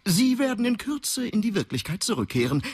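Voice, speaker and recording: Husky, animated otherworldly guide. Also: female voice, mid-range voice, a little fast. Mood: teasing, matter-of-fact. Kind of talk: German science fiction radio drama acting.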